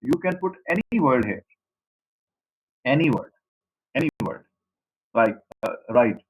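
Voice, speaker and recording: A man's voice: medium pitch, very animated, measured pace, slightly rough, poor audio. A man from Pakistan, a native Saraiki speaker with a Pakistani accent, giving an online class lecture to students and repeating his points as he explains. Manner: repetitive, explanatory, addresses students directly. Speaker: university lecturer.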